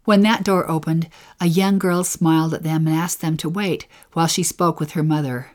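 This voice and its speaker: A woman with a slightly low voice co-teaches a devotional scripture lesson. Her delivery is gentle and earnest, and she takes her time over the phrases she wants to stress. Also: steady tone, moderate pace.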